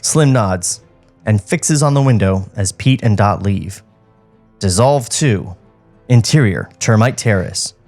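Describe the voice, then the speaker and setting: A man's voice: fairly low pitch, expressive, slow, a little mellow, faint music behind. Man narrating screenplay stage directions. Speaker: podcast co-host reading stage directions. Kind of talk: screenplay narration with joking asides.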